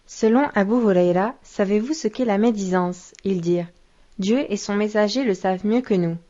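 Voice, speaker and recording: A woman acts as a solemn religious teacher in a children's cartoon. She explains patiently in a mid-pitched voice. The soundtrack is slightly dull and muffled. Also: moderate pace, mellow, lightly acted. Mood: solemn, instructive.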